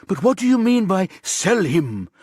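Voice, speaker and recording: A man's voice: high-pitched, sing-song, a little slow, a little mellow, husky, dry recording, studio quality, voice pushed up, exaggerated voice. Man as a firm, urgent police detective. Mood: questioning. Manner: insistent, controlled questioning.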